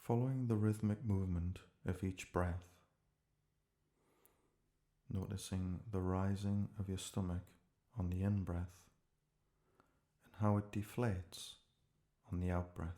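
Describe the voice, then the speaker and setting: A man gently leading a guided relaxation meditation in a calm, measured way, leaving pauses between short phrases. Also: deep voice.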